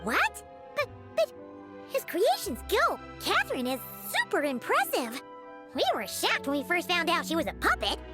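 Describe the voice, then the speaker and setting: A tiny female companion creature from a video game. The voice is extremely high, squeaky and childlike, bouncing through very animated pitch with wide-eyed, earnest curiosity over clearly audible music. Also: normal speed, heavily acted. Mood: surprised. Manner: eager, wide-eyed, questioning. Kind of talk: scripted video game voice acting.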